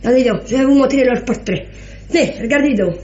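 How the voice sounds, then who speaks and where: A woman's voice: high-pitched, a little fast, a little mellow, close to an everyday voice. An actress playing a chatty, hospitable countrywoman with rustic, folksy speech in an old-fashioned French radio drama. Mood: gossipy, sorrowful. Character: chatty, hospitable peasant woman of the Normandy countryside. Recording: dull, roomy old radio recording.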